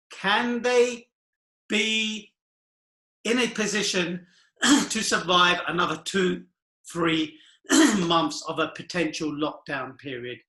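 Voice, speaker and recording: A man's voice: fairly high pitch, pushed and bright, roomy sound. A man in his forties giving an earnest, rambling talk about money and the economy, asking rhetorical questions and laughing nervously at times. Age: 40s. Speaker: finance commentator. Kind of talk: casual monologue to camera.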